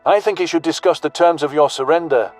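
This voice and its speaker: Male narrator as gruff sci-fi soldier, commanding, slangy, loyal, fairly high voice. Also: brisk, a little mellow, faint music behind, close to an everyday voice. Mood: menacing. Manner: blunt military slang. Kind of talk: audio story character dialogue.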